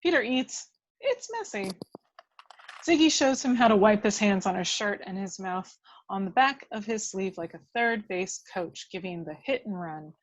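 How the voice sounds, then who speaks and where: A woman's voice: medium pitch, lively intonation, moderate pace, rough recording. A woman reading stage directions as scripted narration at a table read of a film script.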